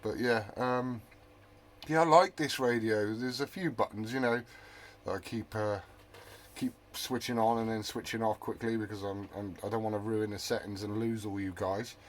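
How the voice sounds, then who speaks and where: A man's voice: fairly low pitch, steady tone, moderate pace, a little hiss or hum. A man chatting casually as a hobby radio operator, rambling and often correcting himself mid-thought.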